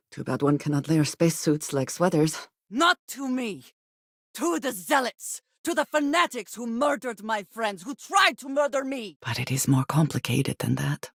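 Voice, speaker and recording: An actress playing a guarded, serious woman space smuggler in a video game, speaking in measured, formal sentences with dry reserve. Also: low voice, a little mellow, no room sound. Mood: measured, wry, then furious. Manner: measured, formal speech with few contractions. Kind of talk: video game companion dialogue.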